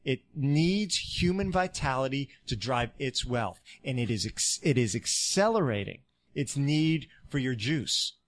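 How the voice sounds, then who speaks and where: A man's voice: medium pitch, expressive, unhurried. A man, a guest speaker, lecturing with rhetorical repetition. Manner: rhetorical, repeating phrases for emphasis. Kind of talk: impassioned lecture.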